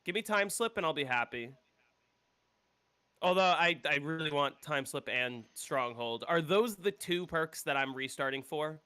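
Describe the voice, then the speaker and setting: A man's voice: on the high side, lively intonation, normal speed, bright, forceful tone, smooth. A man chatting casually while he streams a game, rambling and opinionated and swearing freely. He sounds congested, like he is coming down with a cold. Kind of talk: casual gameplay chat.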